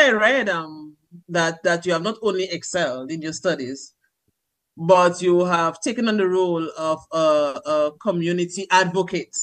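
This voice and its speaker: A man with a high voice hosts an interview. He is conversational but a little formal, choosing ornate phrases for his questions and echoing back the words of others. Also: a bit bright. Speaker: host, interviewer.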